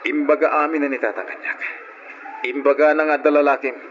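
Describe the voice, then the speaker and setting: A man playing a callous, accusing husband in old radio drama style, gruff and dismissive, on a muffled recording. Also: on the high side, level tone, fairly quick, a bit bright, natural voice. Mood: accusing, bitter.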